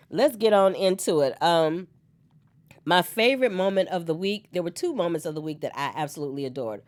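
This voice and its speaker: Chatty woman hosting a soap recap. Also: sits low. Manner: animated and chatty, laughs often, talks back to the characters. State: slightly hoarse from a cold. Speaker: host of a soap opera recap show. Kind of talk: solo casual recap chat.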